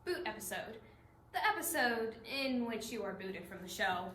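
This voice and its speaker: A woman's voice: on the high side, big pitch swings, normal speed, sharp tone, a little hiss or hum. A woman giving rehearsed, scripted narration in a readers theatre performance. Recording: echoey room stage recording.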